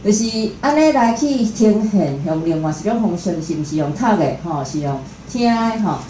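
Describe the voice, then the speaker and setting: A woman's voice: mid-range voice, expressive, normal speed, mellow. A woman with a Taiwanese accent, hosting and giving an informal introduction at a live talk. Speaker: event host introducing a guest speaker. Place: indoor room at a live talk event. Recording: echoey room with faint noise.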